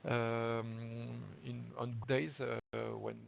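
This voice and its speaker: Halting French-accented man on a tinny line. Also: medium pitch, flat delivery, vocal fry. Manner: hesitant, many fillers and restarts. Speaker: webinar presenter. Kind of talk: halting presentation in a second language. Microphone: web conference audio. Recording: tinny phone-like web call audio with hiss.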